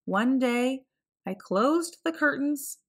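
A woman reading a picture book aloud for children, with clear, expressive phrasing. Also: high voice, slow. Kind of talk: read-aloud storybook narration.

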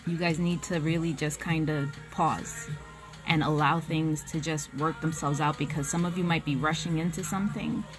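Young woman, low voice, casual swearing tarot reader talking close to a phone at home, music bed behind. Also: steady tone, moderate pace. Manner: frank, blunt and swearing, with frequent "um" and "like". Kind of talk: casual spoken tarot reading. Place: small indoor room at home. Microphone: phone camera at close range.

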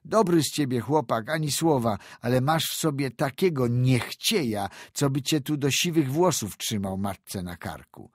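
A man's voice: lowish voice, big pitch swings, a little slow, few pauses, dry recording, studio quality. A man voicing a stern old village man who scolds a boy in a storybook reading, speaking raised and reproachful. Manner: raised, forceful, reproachful delivery.

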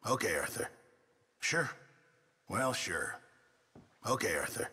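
An actor playing a put-upon, middle-aged camp cook in a Western video game, flustered, huffy and self-important, with a gravelly, husky voice. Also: male voice, low voice, sing-song, takes it slowly, pushed and bright, big-room sound, exaggerated voice. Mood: resigned. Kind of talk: video game character barks.

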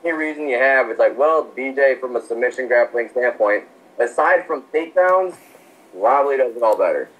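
A man co-hosting a podcast, giving quick, blunt, decisive opinions in casual chat. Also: medium pitch, expressive, a bit bright, poor audio. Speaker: podcast co-host.

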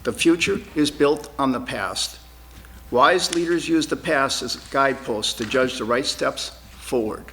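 A man delivering a formal, prepared candidate statement in a measured, scripted way. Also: mid-range voice, sharp tone, a little hiss or hum. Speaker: school board candidate. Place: TV studio.

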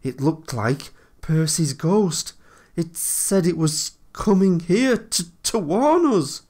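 Man putting on a high, fretful tram engine. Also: a little slow. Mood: mock frightened. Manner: shaky, breathless urgency. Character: sly, play-acting male tram engine, feigning fright.

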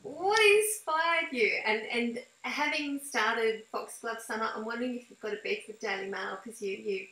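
A woman's voice: a little high, normal speed, hardly any pauses, bright, forceful tone. A middle-aged woman interviewing someone over a video call, asking conversational questions in a hesitant, thoughtful way. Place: small indoor room. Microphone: video call webcam setup. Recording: roomy, echoey video call audio.

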